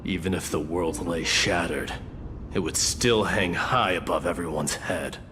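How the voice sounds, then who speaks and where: An actor playing a proud, blunt warrior devoted to glory in battle, speaking solemnly in a fantasy game. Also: male voice, sits low, unhurried. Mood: solemn, reverent. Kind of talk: scripted fantasy game voice acting. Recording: loud game music underneath.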